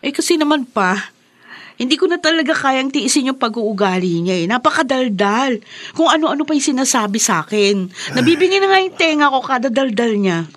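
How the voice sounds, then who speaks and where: Young Filipina daughter-in-law, higher voice. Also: female voice, lively intonation, brisk, a bit bright, no room sound. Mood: exasperated. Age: young adult. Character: put-upon, hesitant young Filipina mother and daughter-in-law. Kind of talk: Tagalog radio drama acting.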